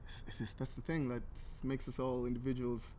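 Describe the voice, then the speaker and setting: A man's voice: medium pitch, completely flat delivery, fairly quick, darkish tone, slight background noise. A young man from Tanzania talking casually and earnestly as an interview guest, thinking things through. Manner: reflective, earnest.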